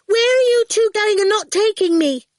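A bold little storybook girl, put on by a woman narrator, pitched very high. She is loud, blunt and demanding, and her voice is dry and close. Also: moderate pace, no echo.

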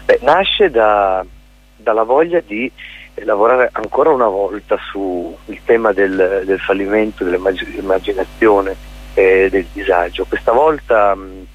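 A male theatre director and playwright being interviewed on radio, explaining his production in articulate lists with hesitant pauses. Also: fairly low pitch, husky, narrow, tinny audio.

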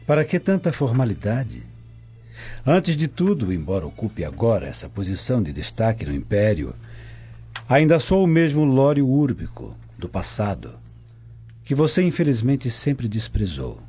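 An actor playing a lovesick, insistent Roman prefect in a radio soap, theatrical and husky. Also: male voice, medium pitch, very animated, moderate pace, warm tone. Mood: flirting, reproachful. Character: smooth-talking, wounded, lovesick Roman prefect, insistent suitor. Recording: dull lo-fi, music behind.

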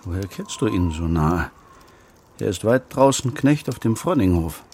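A man's voice: on the low side, sing-song, normal speed, a little mellow, slight background noise. An actor playing a stern, suspicious man in a radio play, questioning in a severe, probing manner with formal diction. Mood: skeptical. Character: stern, suspicious man interrogating a young relative. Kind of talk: German radio play acting.

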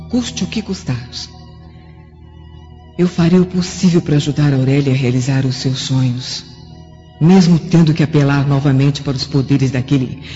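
An actress playing a scheming, determined Roman wife and mother in a radio drama, hushed and crafty. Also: deep voice, natural voice. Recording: dull lo-fi audio with faint music.

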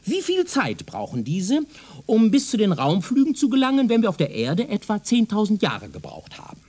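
An actor playing a self-assured, pedantic professor from the future in a German radio drama, lecturing in a condescending way. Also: male voice, high-pitched, wildly swooping pitch, moderate pace, darkish tone, slightly rough, full character voice. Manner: pedantic, didactic, rhetorical.

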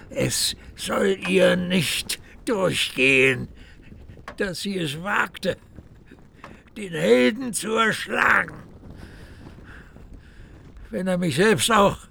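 An old warrior swearing vengeance in a radio drama. The voice is very creaky and high-set, halting and extremely slow in its outrage. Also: male voice, lively intonation, sharp tone, slight background noise, exaggerated voice. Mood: vengeful outrage. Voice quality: creaky, gravelly. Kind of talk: German radio drama acting.

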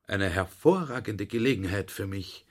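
A man's voice: fairly low pitch, animated, normal speed. A man voicing a plump, self-satisfied, eager army general in a radio drama. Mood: admiring, eager. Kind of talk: radio drama character speech.

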